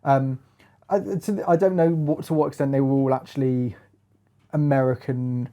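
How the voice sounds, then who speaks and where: A man's voice: medium pitch, fairly even intonation, normal speed, dark tone. A man presenting a hands-on music gear review in a casual chat, feeling his way through phrases with lots of hedging.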